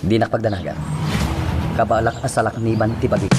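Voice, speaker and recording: Man playing stern police chief, low pitch, authoritative, dutiful, Ilocano Filipino accent, radio drama over music. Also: fairly even intonation, a little fast, close to an everyday voice. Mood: curt, firm. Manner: firm, authoritative. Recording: music bed behind the voice.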